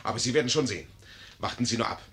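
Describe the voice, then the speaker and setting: An actor playing a vain, gullible young army lieutenant, brash and pompous, in a 1950s radio drama. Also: male voice, medium pitch, lively intonation, rapid-fire, a bit bright. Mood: smug. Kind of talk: 1950s German radio play acting.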